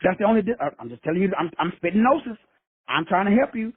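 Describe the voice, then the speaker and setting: A man's voice: high-pitched, lively intonation, fast, husky, dry recording. A man from Texas hosting a call-in show, preaching profane motivation with swagger and slang. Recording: tinny phone line, dry.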